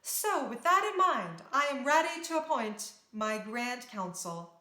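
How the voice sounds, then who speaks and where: A woman's voice: a little high, sing-song, measured pace, bright, forceful tone, full character voice. A woman playing a brave, determined young princess in a storybook, speaking earnestly and with command. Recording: roomy, echoing sound.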